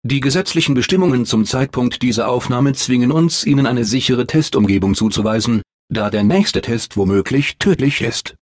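A man plays a male automated announcer for a video game facility. He speaks at a medium pitch, formal and measured. His temper is unflappable and blandly upbeat, delivering everything as polite, deadpan official information. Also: lightly acted. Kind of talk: scripted game announcements, German dub.

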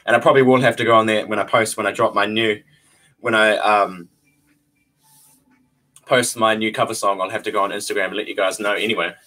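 A young man with a New Zealand accent chatting on a webcam stream from a small bare room. His voice sits fairly low but sounds bright and forward, and his delivery is easygoing, with frequent chuckles and phrases said twice. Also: fairly even intonation, a little fast, long pauses, poor audio. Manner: laid-back, chuckles often, repeats phrases. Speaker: vlogger hosting a livestream. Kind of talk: casual livestream chat answering viewers. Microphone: webcam at desk distance.

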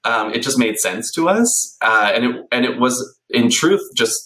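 A man in his thirties, a podcast guest telling his story in a relaxed, animated way, with plenty of ums, on a video call from a small bright room. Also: mid-range voice, unhurried, poor audio. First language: English. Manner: animated, gesturing a lot, many ums. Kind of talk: casual conversational storytelling. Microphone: headphones on a video call.

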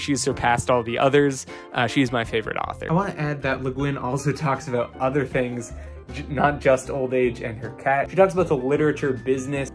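Earnest young man reviewing books. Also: medium pitch. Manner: earnest, enthusiastic, with frequent fillers and restarts. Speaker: book channel host. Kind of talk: casual book review vlog. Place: small home room with a map on the wall. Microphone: boom-mounted studio mic close in front.

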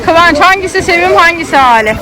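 A high-voiced woman with an Istanbul Turkish accent is vlogging outdoors. She chats casually, switching between addressing a child and her viewers, with a busy crowd audible around her. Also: animated, a little fast. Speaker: vlogger parent filming a child. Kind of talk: casual vlog commentary. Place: outdoor children's theme park. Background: crowd chatter.

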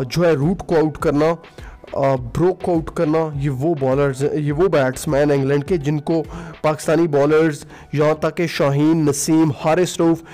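A man's voice: on the high side, a little mellow. Man, faint music behind. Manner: casual, many "aa" fillers, mixes in English phrases. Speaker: cricket commentary vlogger. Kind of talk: solo cricket commentary over music. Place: voice-over, not recorded at the ground.

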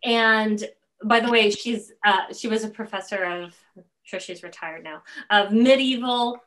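Middle-aged woman, interview host, casual, halting, thinking out loud, medium pitch, video call. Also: rough recording.

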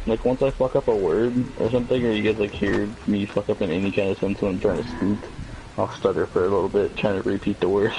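A man chatting while gaming, with a mild stutter and halting restarts. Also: on the low side, steady tone, warm tone, a little hiss or hum, rough recording. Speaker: co-op player. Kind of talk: casual gaming chat. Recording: rain game sound behind voice.

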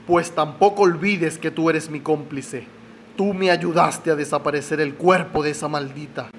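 An actor playing a menacing, guilt-ridden killer in a radio soap opera, curt and threatening. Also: male voice, fairly high pitch, fairly even intonation, moderate pace, a little hiss or hum, close to an everyday voice.

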